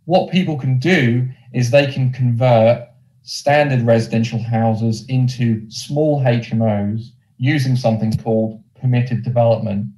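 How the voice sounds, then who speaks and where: A man's voice: mid-range voice, steady tone, a little slow, warm tone, echoey room, poor audio. A man with a Norfolk English accent giving an explanatory webinar presentation as a presenter. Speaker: presenter, mortgage lender representative.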